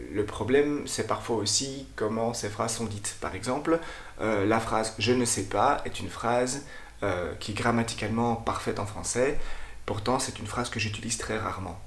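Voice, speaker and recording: A man, a language teacher and channel host, talking to camera in a thoughtful, explanatory way, often pausing to hesitate. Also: on the low side, a bit bright, echoey room. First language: French. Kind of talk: casual explanatory talk to camera.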